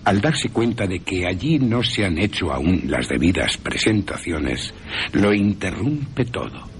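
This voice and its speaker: A man with an accent from Spain narrating a horror story for radio in a theatrical, suspenseful way with long pauses. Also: low-pitched, big pitch swings, a little hiss or hum. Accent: Spanish from Spain. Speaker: radio drama narrator and presenter. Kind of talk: dramatic scripted horror narration.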